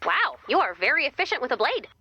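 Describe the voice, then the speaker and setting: An actress playing an exasperated, peppy young team leader in a video game, with a wry, anxious, chatty manner. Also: female voice, high voice, very animated, quick pace, bright, forceful tone, a little hiss or hum, exaggerated voice. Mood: worried. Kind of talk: scripted video game voice acting.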